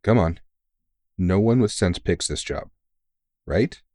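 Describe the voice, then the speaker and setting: A very low-voiced veteran monster hunter, acted by a man, recorded dry and close for an ASMR roleplay. He is kindly and worn out, soothing a younger recruit with gentle teasing and casual swearing. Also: expressive, takes it slowly, dry recording, full character voice. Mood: encouraging, wry. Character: kindly, weary veteran male vampire hunter mentoring a rookie. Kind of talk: intimate ASMR audio roleplay.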